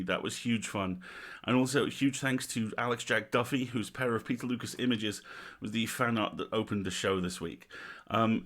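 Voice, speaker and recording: Livestream host: lowish male voice, informal, wry, given to playful jokes. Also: a bit bright. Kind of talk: casual livestream chat.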